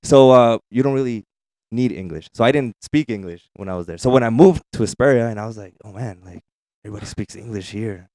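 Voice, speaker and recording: A man's voice: fairly low pitch, quick pace, a little mellow, no echo. A man in his thirties from the Mexican side of the border, a native Spanish speaker, telling stories casually as a podcast guest. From US-Mexico border region, Mexico. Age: 30s. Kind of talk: casual chat, storytelling.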